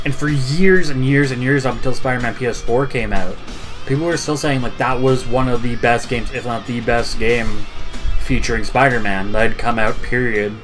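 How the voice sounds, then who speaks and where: Man for gaming podcast panel, medium-pitched, conversational and informal, quiet music bed behind. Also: normal speed. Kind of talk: casual group discussion of video games. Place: online group voice chat.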